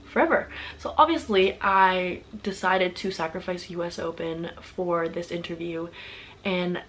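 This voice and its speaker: A woman's voice: fairly low pitch, measured pace, fairly bright tone, low-quality recording. Young woman vlogging, chatty story time, talks right at viewers, faint noise behind. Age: young adult. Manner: chatty, talks straight to viewers, stacks repeated words for emphasis. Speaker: vlogger and athlete. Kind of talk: casual story-time vlog.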